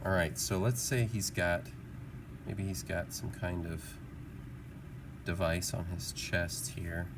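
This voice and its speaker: A man's voice: low-pitched, steady tone, takes it slowly, pauses often. A man giving a casual drawing tutorial, thinking aloud in an informal, encouraging, musing way as an art teacher. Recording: clearly audible background noise.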